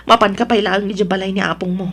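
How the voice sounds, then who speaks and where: A woman's voice: mid-range voice, steady tone, fast talker, fairly bright tone. A woman playing a devoted, distressed wife and mother in an Ilocano audio drama. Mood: concerned. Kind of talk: Ilocano radio-style drama acting.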